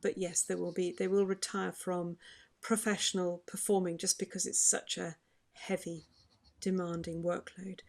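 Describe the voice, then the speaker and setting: A woman's voice: lowish voice, little pitch movement, normal speed, gravelly, hardly any pauses, a little mellow. A woman who is a vocal health expert, explaining singing advice in a chatty way during an online question session. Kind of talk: online forum Q&A.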